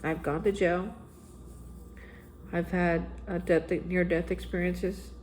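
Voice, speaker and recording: A man's voice: high-pitched, flat delivery, slow pace, a little hiss or hum, poor audio. Man, earnest recovery vlogger, urging, repeats phrases, casual spiritual talk. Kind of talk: daily spiritual reflection monologue. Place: Austin, Texas area.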